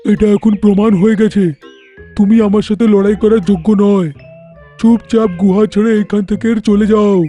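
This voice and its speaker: A man's voice: high-pitched, steady tone, mellow, faint music behind, natural voice. A man voicing a bold, crafty, boastful elephant in a cartoon, with a swaggering manner. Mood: boastful, taunting. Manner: taunting, swaggering, deliberate. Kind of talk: animated cartoon voice acting.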